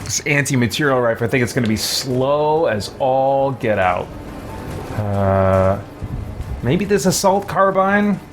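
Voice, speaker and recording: A man talks through a video game as he plays, in a casual, thinking-aloud way. His mid-pitched voice moves up and down a lot, peppered with mild folksy exclamations. Music plays prominently behind him. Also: animated, a little slow. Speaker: let's play host, gamer. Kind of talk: casual gameplay commentary.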